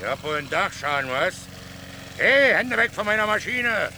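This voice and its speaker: A man's voice: sits high, sing-song, unhurried, a little hiss or hum, full character voice. A man acting an irate, blunt working-class mower driver in a radio play, indignant and suspicious. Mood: outraged. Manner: blunt, indignant, shouting retorts.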